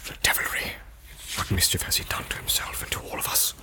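A man voicing a stern old wizard in a fantasy storybook, commanding and urgent, with a raspy voice. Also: fast, in a whisper. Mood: hushed alarm. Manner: stern, forceful questioning.

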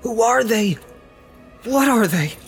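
An anxious male servant from a storybook, performed by a man. He is plain-spoken and loyal, pitched well up high, with music underneath. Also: lively intonation, normal speed, pushed and bright, exaggerated voice. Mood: shaken. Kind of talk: dramatic audiobook character reading.

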